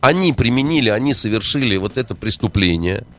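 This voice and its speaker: A low-voiced man argues politics with rising indignation, firing off rhetorical questions between frequent hesitations. Faint music runs underneath the talk. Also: animated, fairly quick, pushed and bright. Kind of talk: heated political discussion.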